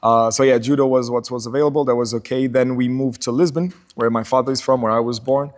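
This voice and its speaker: A man's voice: medium pitch, steady tone, fast talker. Laid-back Portuguese-born man, chatting easily. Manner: laid-back, laughs often, says "you know" a lot. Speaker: podcast guest. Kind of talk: casual chat.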